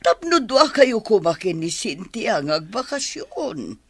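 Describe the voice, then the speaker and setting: A woman's voice: mid-range voice, big pitch swings, unhurried, slightly rough, dry recording. A worried Ilocano mother. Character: worried mother of a grown son. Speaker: radio drama actor.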